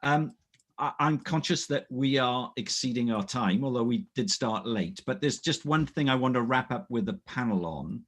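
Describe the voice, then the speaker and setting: Husky-voiced male panel moderator. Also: medium pitch, animated, fairly bright tone. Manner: measured, polite, steering the discussion. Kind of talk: online panel discussion.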